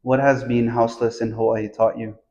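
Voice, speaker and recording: Slightly muffled audio, man, interviewer, flat intonation, medium pitch, plain questions. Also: a little fast.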